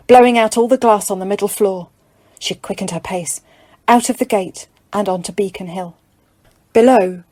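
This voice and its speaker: A woman reading scripted fiction as an audiobook narrator, in a measured, dramatic storytelling manner. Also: medium pitch, a little mellow, no room sound.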